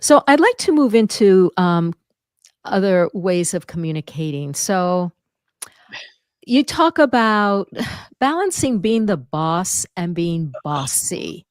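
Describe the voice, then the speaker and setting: A woman hosting an interview, speaking softly and thoughtfully. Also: fairly low pitch, very animated, takes it slowly, no room sound. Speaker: podcast host. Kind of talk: conversational interview.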